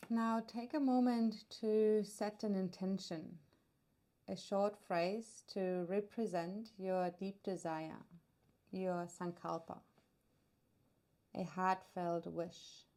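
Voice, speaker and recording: A woman guiding a meditation as a yoga nidra teacher, soothing and unhurried, leaving long pauses between her phrases. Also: mid-range voice, fairly even intonation, warm tone. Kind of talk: guided meditation narration.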